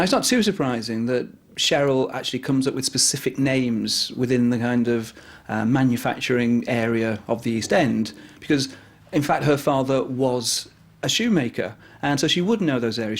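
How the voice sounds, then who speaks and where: A man gives skeptical expert commentary in a dry manner. His voice is husky, at a middle pitch. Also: normal speed. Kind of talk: analytical commentary.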